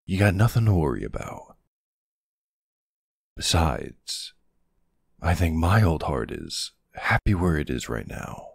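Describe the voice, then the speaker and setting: A man playing a tender, protective older ex-soldier boyfriend with a husky, gravelly voice in an intimate ASMR roleplay. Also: low voice, dry recording, clean studio sound, heavily acted. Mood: playful, teasing. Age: middle-aged or older character. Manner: affectionate teasing, hesitant pauses, soft reassurance.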